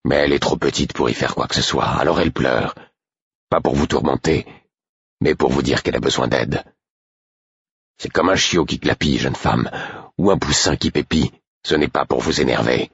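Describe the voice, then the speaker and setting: A stern, weary man, acted by a male audiobook reader, reproves with restraint and patience. The voice is extremely low and husky, in a dull, echoing recording. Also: moderate pace, a bit bright, heavily acted. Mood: dry, reproving. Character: stern, tired man, gruff but patient and protective. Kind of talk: audiobook character acting.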